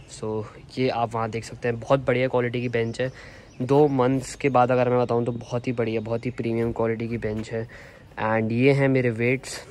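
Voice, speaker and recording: Young man giving a flat, chatty gym tour. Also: mid-range voice, slight background noise. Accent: Indian English. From India. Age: young adult. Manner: chatty, mixing Hindi and English. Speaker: fitness YouTuber. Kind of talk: casual home gym tour. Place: sunny rooftop terrace.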